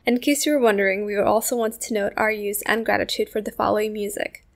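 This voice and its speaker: A woman in her twenties from Indonesia, co-hosting a podcast in a relaxed, chatty way and reading segments aloud. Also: mid-range voice, quick pace, creaky. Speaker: podcast co-host. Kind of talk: casual podcast chat and reading.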